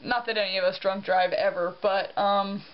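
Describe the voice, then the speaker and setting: A woman's voice: mid-range voice, steady tone, normal speed. A young woman in casual vlog chat, rambling and self-deprecating, tired and congested with a sore throat. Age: young adult. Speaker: vlogger. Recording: dull lo-fi sound with faint hiss.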